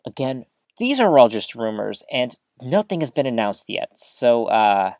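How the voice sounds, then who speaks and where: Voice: medium pitch, a little slow, no room sound, clean studio sound. A performer playing an earnest, eager novice host of a small-town community radio show, chatty and folksy, talking straight to the listeners in an audio drama. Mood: confiding, newsy. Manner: folksy, chatty, expressive, addresses listeners directly. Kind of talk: scripted audio drama as local radio broadcast.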